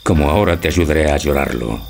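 Tragic old husband, acted by a man, low gravelly voice, grave poetic radio-play delivery. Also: a little mellow, a little hiss or hum. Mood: brooding. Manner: grave, poetic, deliberate declamation. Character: Don Perlimplín, lovelorn old husband, brooding and tragic. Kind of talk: theatrical Spanish radio drama acting.

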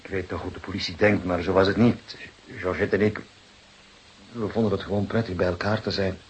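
Actor as very deep-voiced, anxious, defensive gentleman. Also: level tone, natural voice. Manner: halting, emotional, defensive. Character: anxious, defensive married gentleman, grieving secret lover. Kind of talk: Dutch radio drama acting. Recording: hissy, noisy old recording.

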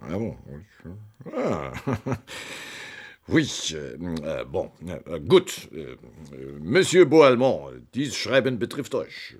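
A man's voice: sits low, wildly swooping pitch, slow, husky, exaggerated voice. An actor playing a vain, wealthy French physician in a radio drama, pompous and courtly, peppering his speech with French interjections. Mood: courteous, hesitant. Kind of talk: classic German radio drama.

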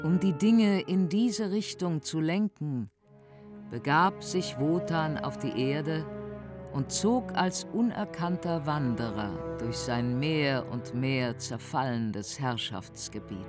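A woman narrating a radio drama as a measured, deliberate storyteller. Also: low-pitched, expressive. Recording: music under the narration.

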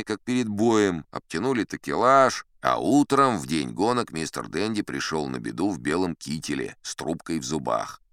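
An actor playing a boastful old sea captain, spinning tall tales in a self-satisfied, chatty storybook narration. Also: male voice, sits low, fairly bright tone, clear, resonant tone, no room sound, studio quality. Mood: relaxed, confiding. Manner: self-satisfied, conversational raconteur. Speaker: audiobook narrator in character. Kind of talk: theatrical first-person storybook narration.

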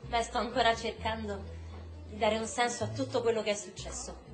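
A woman's voice: a little high, little pitch movement, fairly quick, natural voice. An actress playing a guarded, determined American woman researcher in a radio drama. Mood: subdued. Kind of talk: stage-style radio drama acting. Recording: echoey room, faint music behind.

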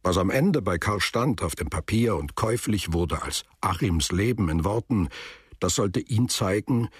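A man narrating a literary radio drama in a measured, formal way, with music behind him. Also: deep voice, animated, husky, no room sound, studio quality.

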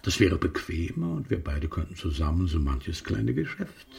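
An actor playing a sly, insinuating merchant in a literary radio drama. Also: male voice, deep voice, sing-song, normal speed, gravelly, distant mic, full character voice. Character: sly, insinuating male merchant in period novel.

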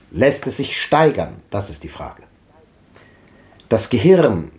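An actor playing a grave, probing old man with a deliberate, rhetorical delivery in a 1950s radio drama. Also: mid-range voice, a little mellow.

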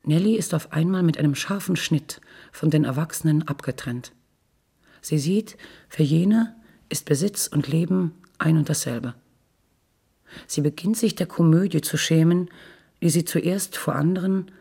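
A woman giving a measured, sober reading of literary prose in a husky, breathy voice, as a radio narrator. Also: low voice, dark tone.

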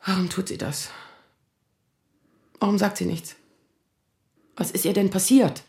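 A woman's voice: mid-range voice, steady tone, vocal fry, dark tone, reverberant. An actress playing a distraught woman in her thirties in an audio drama, speaking in anxious, stammering bursts. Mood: agitated, fearful. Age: 30s. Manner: halting, stammering. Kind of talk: naturalistic audio drama acting.

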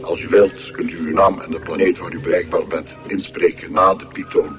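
An actor playing an ancient planet's recorded announcer in a radio play, formal and courteous with a smooth menace. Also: male voice, sits low, little pitch movement, brisk, natural voice. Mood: unctuous politeness. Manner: formal, courteous corporate politeness. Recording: loud music behind the voice.

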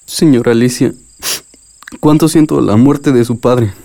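Mellow-voiced, remorseful young man played by an actor. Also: medium pitch, measured pace, gravelly. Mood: tender, earnest. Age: young adult. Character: remorseful young man in love, troubled by anger. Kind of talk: radio drama acting.

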